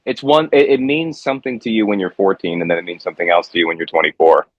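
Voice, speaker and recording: A man from the United States, a guest musician, talking in a reflective, halting way with many restarts. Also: deep voice, steady tone, no echo, muffled recording. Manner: reflective, halting with repeated starts. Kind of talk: casual conversation.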